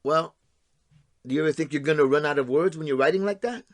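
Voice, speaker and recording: A man's voice: a little high, fast, no echo, clean studio sound, lightly acted. An actor playing a resentful, combative blue-collar man in an audio drama, plain-spoken, sardonic and argumentative. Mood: wheedling. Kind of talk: stage-style audio drama acting.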